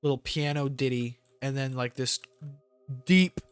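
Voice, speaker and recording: A man's voice: medium pitch, a little slow, fairly bright tone, no room sound, faint music behind. A man giving casual, rambling gameplay commentary with jokey slang. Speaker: gamer hosting a let's play.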